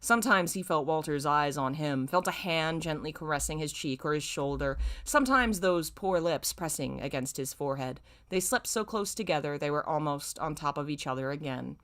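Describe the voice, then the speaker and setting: A woman's voice: low-pitched, a bit bright. A woman streamer commenting casually and reading aloud in an exclamatory, informal, self-mocking manner.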